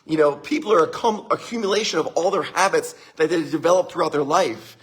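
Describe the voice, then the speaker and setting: Upbeat middle-aged male motivational speaker, husky and fairly high for a man, live-stream feel. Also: brisk. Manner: upbeat, greets viewers by name, laughs often.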